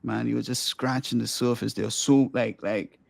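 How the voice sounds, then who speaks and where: Middle-aged man, light squeaky voice, rambling eager talk, dry close recording. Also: fairly even intonation, moderate pace, creaky, a little mellow, no echo. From Grenada. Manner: enthusiastic, rambling, many restarts. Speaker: podcast host and musician. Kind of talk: casual podcast interview.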